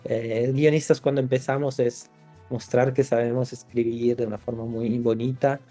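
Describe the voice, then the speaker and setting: A man's voice: mid-range voice, level tone, normal speed, warm tone, soft background music. A man from Italy, a screenwriter guest in a podcast interview, talking thoughtfully with frequent restarts. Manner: thoughtful, many restarts and fillers.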